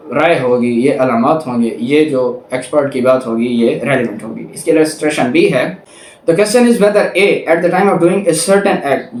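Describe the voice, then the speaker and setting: A man with a Pakistani accent giving an explanatory law lecture in a didactic way, reading statutes aloud and rephrasing each point. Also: on the high side. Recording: echoey room.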